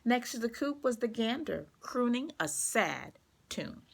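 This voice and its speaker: A woman reading a children's storybook aloud, expressive and carefully paced for young listeners. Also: a little high, a little slow, gravelly, breathy edge.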